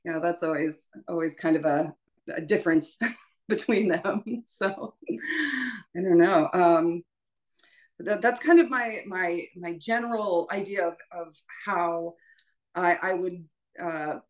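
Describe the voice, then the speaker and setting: A woman with a slightly low voice teaches in an informal, conversational way. She sounds tentative and self-deprecating, often letting her explanations trail off. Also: slow pace. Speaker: voice teacher leading a workshop.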